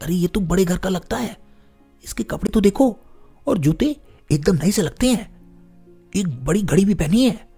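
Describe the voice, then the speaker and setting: A man's voice: high-pitched, animated, normal speed, gravelly, long pauses, dark tone, husky, soft background music, voice pushed up, full character voice. A man voicing a wary, hungry little boy from a poor home in a story, hesitant and grumbling. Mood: suspicious.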